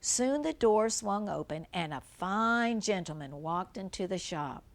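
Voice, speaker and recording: An older woman reads a children's story aloud at an unhurried pace, with lively rises and falls in pitch. She speaks as a grandmother would to a small child, stopping to explain things simply. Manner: simple explanations, gentle questions to a young listener. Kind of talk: read-aloud children's storybook with chatty asides.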